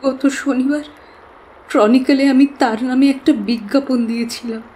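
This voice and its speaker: An actress playing an earnest, devoted young woman client in an audio drama, sorrowful and halting in delivery. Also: high-pitched, steady tone, dark tone, natural voice. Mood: earnest, subdued. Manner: hesitant, pleading, halting.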